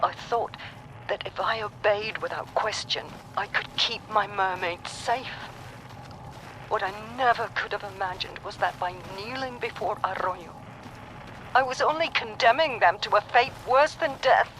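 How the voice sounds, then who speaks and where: An actor playing a remorseful, protective guardian in a video game, speaking in a solemn, earnest confessional. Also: male voice, high-pitched, unhurried, noisy background. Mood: regretful. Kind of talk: dramatic confessional game monologue.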